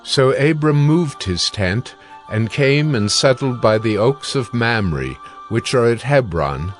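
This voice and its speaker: A man narrating scripture as a scripted reading in a measured, solemn storytelling manner. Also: mid-range voice, expressive, soft background music.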